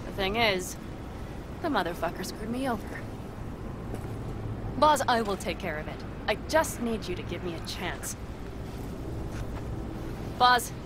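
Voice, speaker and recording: Over clear vehicle and outdoor noise comes a proud, hot-headed young mercenary driver in a video game. Her delivery is blunt and combative, swearing freely, and her pitch swings widely from phrase to phrase. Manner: blunt, foul-mouthed, combative. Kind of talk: naturalistic video game acting.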